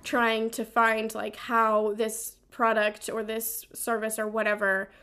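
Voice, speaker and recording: A woman's voice: on the high side, monotone, slow pace, pushed and bright, smooth. A woman hosting a podcast, interviewing in a casual, chatty way with plenty of "like" sprinkled through her sentences. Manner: informal, frequent "like" as a filler.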